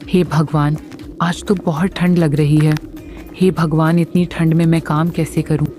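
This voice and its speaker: A woman voicing a poor, lonely old village woman who speaks in a weary, plaintive way, in an animated storybook. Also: low voice, unhurried. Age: older. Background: background music.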